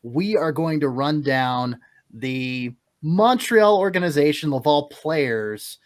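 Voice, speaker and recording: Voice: medium pitch, animated, takes it slowly. A co-host running a segment of a hockey podcast, organized and jokey. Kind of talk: casual hockey podcast chat.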